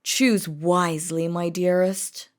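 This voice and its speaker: Woman acting an imperious, slow-spoken demon queen. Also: fairly low pitch, little pitch movement, voice pushed down. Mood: menacing. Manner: imperious, dramatic, threatening yet doting. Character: feared demon queen, possessive, commanding, lovestruck bride. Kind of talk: scripted romantic audio roleplay.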